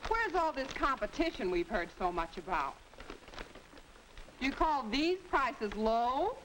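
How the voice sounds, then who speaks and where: High, irritated shopper. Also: female voice, big pitch swings, unhurried. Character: irritated shopper complaining about prices. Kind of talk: scripted 1940s street interview. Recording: noisy 1940s interview recording with clicks.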